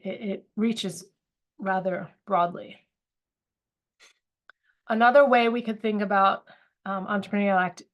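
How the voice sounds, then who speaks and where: A woman with a cold giving a webinar lecture, a little sniffly, thoughtful, with frequent fillers and restarts. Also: medium pitch. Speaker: academic presenter. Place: university.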